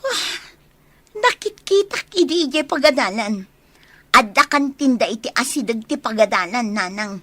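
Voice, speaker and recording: A woman's voice: fairly high pitch, lively intonation, moderate pace, a bit bright, no room sound. A woman playing a spiteful, scheming young jilted woman in an audio drama, complaining and aggrieved. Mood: sulky, scheming. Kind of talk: Filipino radio-style audio drama acting.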